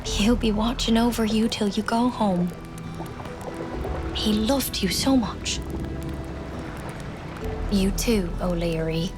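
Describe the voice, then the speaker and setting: An actress as a young Newfoundland woman, a wartime nurse mourning her brother. She speaks softly and plainly, with a wistful storyteller's way, at a middle pitch over clearly audible music in a game cutscene. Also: slow pace, long pauses, lightly acted. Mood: gentle, resolute. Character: grieving wartime nurse, sister of a fallen soldier.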